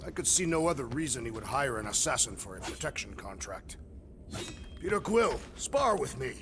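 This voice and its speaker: Formal, proud warrior in reverb. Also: male voice, mid-range voice, very animated, normal speed, background noise. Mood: earnest, proud. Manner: formal, stilted diction without contractions. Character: earnest, literal-minded proud alien warrior man. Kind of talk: video game voice acting.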